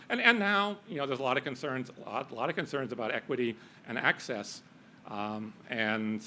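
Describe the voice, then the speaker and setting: Halting male panel speaker. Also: medium pitch, fairly bright tone, a little hiss or hum. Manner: halting, repeats words, casual asides. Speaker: symposium speaker. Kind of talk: panel talk.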